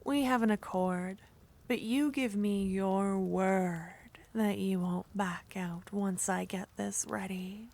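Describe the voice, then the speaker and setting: A woman playing a slow, whispery yandere witch. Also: medium pitch, vocal fry. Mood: teasing. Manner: soft-spoken, half-whispered, teasing. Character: seductive possessive female witch, teasing and scheming. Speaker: ASMR roleplay performer. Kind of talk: ASMR roleplay.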